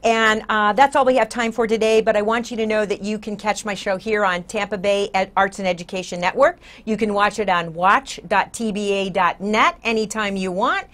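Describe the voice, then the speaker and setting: A woman's voice: mid-range voice, fairly even intonation, moderate pace, few pauses, a bit bright. An older woman with an American accent, hosting a conversational interview as a talk show host. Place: small indoor TV studio.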